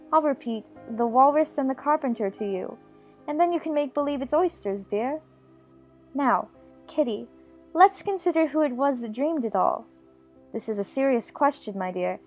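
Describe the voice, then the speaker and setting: A woman playing a chatty, imaginative little girl in an audiobook, fond and bossy, prattling on in playful scolding. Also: high-pitched. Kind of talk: dramatized audiobook character acting.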